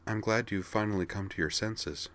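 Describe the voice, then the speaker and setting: A man's voice: lowish voice, expressive, brisk, pitched above the performer's own voice, natural voice. A man voicing a lovesick young Valkyrie girl who pleads and bursts into tears. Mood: hopeful. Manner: pleading, rising to tearful outbursts.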